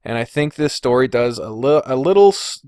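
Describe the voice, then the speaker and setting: A man's voice: medium pitch, animated, brisk, a little mellow, no room sound. Casual man podcasting in a dry, close space. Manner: informal, freely swearing. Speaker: podcast host. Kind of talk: casual chat, reading and reacting to viewer comments.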